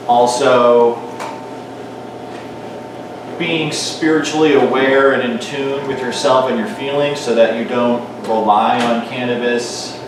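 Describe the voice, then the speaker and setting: A man speaking on a panel, feeling his way through the answer with pauses and restarts. He sounds far from the microphone in a very echoey hall. A steady noise hangs behind him. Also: mid-range voice. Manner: thoughtful, hesitant, with many restarts. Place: event hall.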